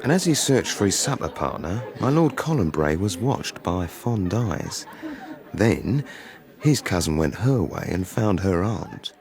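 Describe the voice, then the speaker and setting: An actor playing a gossipy manservant, wry and knowing, in a period radio drama. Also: male voice, low-pitched, big pitch swings, measured pace, a little mellow, heavily acted. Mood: wry storytelling. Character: gossipy English manservant narrating his employers' affairs. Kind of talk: radio drama narration and dialogue.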